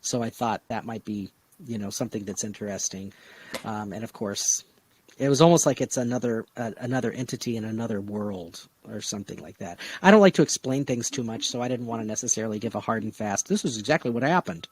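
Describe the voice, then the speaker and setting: A man casually brainstorming on a discussion podcast, talking in a halting way with vague, trailing asides. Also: on the low side, husky, rough recording. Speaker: podcast co-host pitching story ideas.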